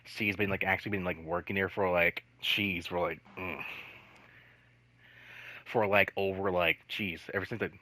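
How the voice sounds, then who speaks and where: A man telling casual stories in a rambling, halting way, swearing freely and leaning on repeated filler phrases. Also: low-pitched, fairly even intonation, sharp tone, no echo, rough recording. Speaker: host telling personal work stories. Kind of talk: casual storytelling chat.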